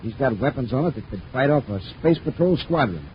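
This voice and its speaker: A man's voice: medium pitch, animated, brisk, lightly acted. An actor playing an anxious, urgent henchman to a space crook in a 1950s radio drama. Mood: worried. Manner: agitated, urgent. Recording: muffled, faintly noisy 1950s radio recording.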